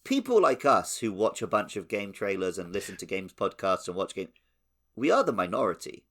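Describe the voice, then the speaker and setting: A man's voice: fairly low pitch, very animated, brisk, fairly bright tone. A man chatting informally and animatedly as a podcast host. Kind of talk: casual podcast chat.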